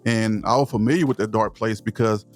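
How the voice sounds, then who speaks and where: A man's voice: on the low side, steady tone, a little fast, soft background music. Southern-accented man speaking with conviction. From East Texas. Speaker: guest. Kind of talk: podcast interview.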